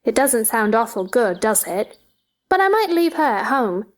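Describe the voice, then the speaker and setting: A woman's voice: on the high side, sing-song, moderate pace. A woman voicing a chatty, curious little girl with an eager, inquisitive manner in a storybook play reading. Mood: wondering, eager.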